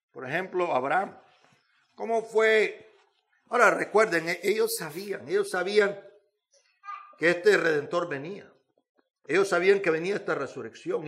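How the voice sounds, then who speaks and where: A male preacher with a notably high-pitched voice delivers a sermon. He speaks emphatically, restating phrases and building his points through repetition and rhetorical questions.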